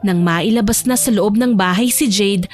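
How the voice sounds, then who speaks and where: A woman's voice: mid-range voice, normal speed, darkish tone, faint music behind. A woman narrating a scripted romantic audio drama as a storyteller.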